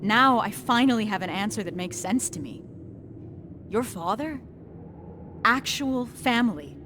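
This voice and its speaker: A woman's voice: mid-range voice, animated, a little slow, lightly acted. A woman playing a determined, caring young resistance fighter in a dramatic, conversational video game cutscene. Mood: firm, caring. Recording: clear background noise, vehicle-like ambience.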